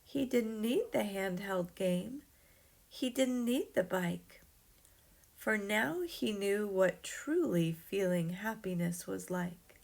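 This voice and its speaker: A woman reading a rhyming children's story aloud in an expressive, dramatic way. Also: mid-range voice, gravelly.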